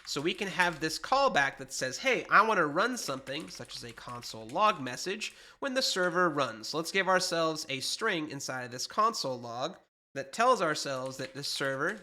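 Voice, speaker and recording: Bright-voiced man teaching code, stuffed up. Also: on the high side. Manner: explanatory, conversational, self-correcting. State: stuffed up with allergies. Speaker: programming instructor. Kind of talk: screen-share coding tutorial. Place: small home studio, indoors.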